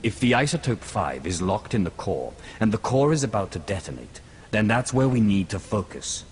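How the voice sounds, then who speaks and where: A man's voice: fairly low pitch, sing-song, normal speed, a little hiss or hum. A man playing a resolute, commanding lord in a video game, speaking formally and with authority. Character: commanding, resolute lord giving urgent orders. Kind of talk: video game cutscene acting. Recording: clear music underneath.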